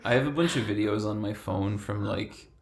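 A man in his thirties with a gravelly, fry-laden voice, recorded in a noticeably echoey room. He talks bluntly, swears a lot, and erupts into heated rants. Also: on the low side, normal speed, a little hiss or hum. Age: 30s. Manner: blunt, sweary, bursts into loud ranting. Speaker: podcast co-host. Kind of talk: casual chat.

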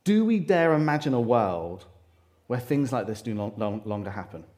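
A man delivers a sermon into a microphone in a church hall, his voice loud and carrying at a middling pitch. He speaks earnestly, often pausing, restarting and reaching for words as he goes. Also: big pitch swings. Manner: earnest, with frequent fillers and restarts. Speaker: preacher. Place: church hall, live congregation. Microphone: handheld or stand microphone.

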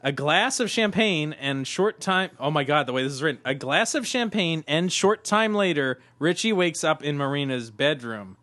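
A man's voice: fairly high pitch, lively intonation, moderate pace, hardly any pauses, sharp tone, no room sound. A man hosting a podcast, chatting casually, reading a description aloud with laughing asides. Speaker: podcast host. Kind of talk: casual chat.

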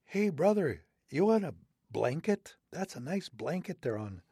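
Folk-tale old wolf chief, sly and coaxing, voice pushed well up high, as a man puts him on. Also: sing-song, measured pace, dark tone, husky, no room sound, studio quality, exaggerated voice. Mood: teasing. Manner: coaxing, raised pitch. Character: old male wolf leader, friendly and sly.

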